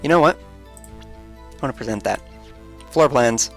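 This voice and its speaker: A man's voice: medium pitch, expressive, a little slow, vocal fry. A man hosting a let's play, casually commenting on the game as he plays. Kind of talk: casual game commentary, reading dialogue aloud. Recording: music under the voice.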